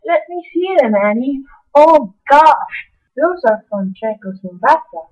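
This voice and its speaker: A woman's voice: high voice, lively intonation, unhurried, a little mellow, lo-fi sound. A woman playing an eager, know-it-all young friend in an amateur radio play, explaining things proudly. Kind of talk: old-time radio play acting by an amateur.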